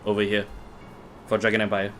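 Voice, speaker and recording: A man's voice: on the low side, completely flat delivery, brisk, fairly bright tone. A man hosting a card game discussion stream, casually steering the talk and laughing often. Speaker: stream host. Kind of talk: casual chat.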